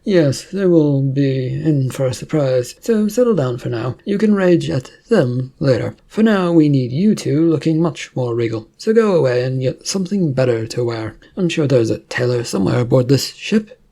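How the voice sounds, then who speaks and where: A man voicing a smooth, scheming male alien president who speaks formally and gives orders in a storybook reading. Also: mid-range voice, animated, normal speed, warm tone.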